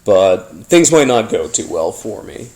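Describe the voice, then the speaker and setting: A man hosting a paranormal podcast, telling his story informally in a halting, rambling, conspiratorial way. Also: fairly low pitch, very animated, a little mellow, poor audio. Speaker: podcast host. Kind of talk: informal first-person storytelling monologue, paranormal audio drama.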